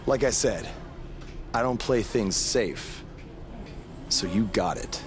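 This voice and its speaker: A man's voice: on the low side, lively intonation, slow pace, noisy background. A man playing a determined up-and-coming pro wrestler in video game cutscenes, speaking earnestly and plainly. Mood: earnest, resolute.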